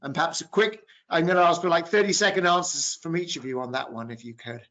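A man's voice: fairly high pitch, expressive, fast, a bit bright. A man in his fifties moderating a business panel discussion over a video call. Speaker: webinar moderator, business professor. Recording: dull video-call audio.

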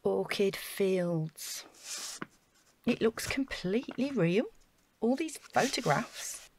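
A woman's voice: medium pitch, lively intonation, takes it slowly. A woman playing an anxious, apologetic, reluctant accomplice in an audio drama, hesitant and unsure. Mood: nervous, apologetic.

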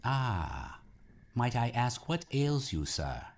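A man voicing a polite, assured male apothecary in an audiobook, with a courteous, deliberate salesman's patter. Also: mid-range voice, sing-song, gravelly, pitched above the performer's own voice, heavily acted.

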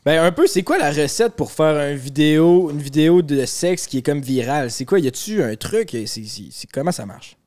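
A man's voice: fairly high pitch, lively intonation, normal speed, hardly any pauses, a little mellow. A man with a Quebec French accent hosting a podcast, asking questions in a joking, teasing way in a small studio. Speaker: podcast host. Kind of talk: casual chat.

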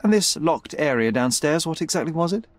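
A self-assured young male detective from a video game, voiced by an actor. His voice sits a little high and moves nimbly in pitch, with precise, analytical, faintly haughty phrasing. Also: animated, a little fast, dry recording, lightly acted. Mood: cool, probing. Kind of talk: video game cutscene acting.

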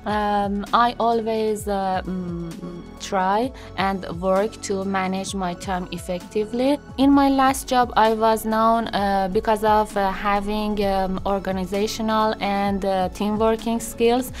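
Woman in her thirties, from Iran, slow hesitant delivery, faint music underneath. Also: medium pitch, steady tone. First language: Persian. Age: 30s. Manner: hesitant, many fillers. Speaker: job seeker introducing herself. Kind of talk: unscripted self-introduction to camera. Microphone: seated, medium shot.

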